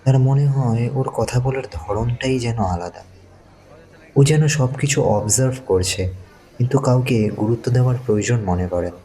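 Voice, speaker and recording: A man's voice: medium pitch, normal speed, warm tone, rough recording. A man narrating a scripted thriller audio story. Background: faint music.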